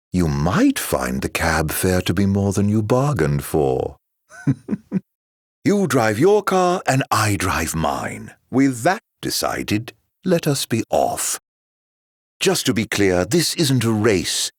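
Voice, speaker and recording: An actor voicing a sly, mock-courteous villain in a video game, with a florid, teasing, theatrical drawl. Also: male voice, on the low side, wildly swooping pitch, takes it slowly, breathy edge, no room sound, clean studio sound, heavily acted. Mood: amused, teasing.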